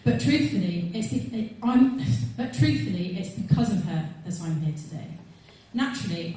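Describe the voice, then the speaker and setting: A woman's voice: medium pitch, dark tone. Very echoey southern English woman giving a speech. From Wimbledon, London, England. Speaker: Green Party election candidate. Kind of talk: prepared campaign speech. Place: large community hall with audience. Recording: very echoey hall, distant mic.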